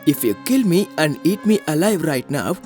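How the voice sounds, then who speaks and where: A man's voice: fairly high pitch, sing-song, moderate pace, darkish tone, faint music behind. An actor playing a polite, honourable young man in a moral story, speaking respectfully and earnestly. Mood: pleading. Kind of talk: animated storybook acting.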